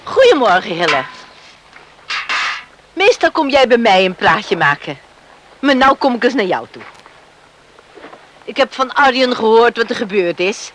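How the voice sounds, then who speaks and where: A protective, middle-aged mother in a radio drama. Her voice is high and very animated, with slow, deliberate pacing. She scolds in plain, emphatic words, full of indignation. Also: a little hiss or hum, low-quality recording. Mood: firm, reproachful. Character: indignant, protective island mother confronting her son's would-be father-in-law. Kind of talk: Dutch radio drama acting.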